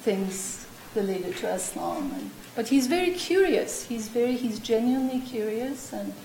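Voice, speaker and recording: A woman's voice: a little high, unhurried, warm tone. A woman professor on a discussion panel, telling chatty anecdotes with many fillers. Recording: echoey room with faint noise.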